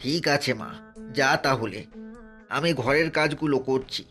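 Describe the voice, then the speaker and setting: A man playing a gentle, doting farmer father in an animated fairy tale. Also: medium pitch, a little slow, soft background music, close to an everyday voice. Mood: affectionate.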